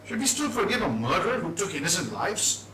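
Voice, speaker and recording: Man playing a forceful, sharp-voiced arguing churchgoer. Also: sits high, big pitch swings, fast, exaggerated voice. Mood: argumentative. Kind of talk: dramatised stage reading. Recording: distant mic in echoey noisy hall.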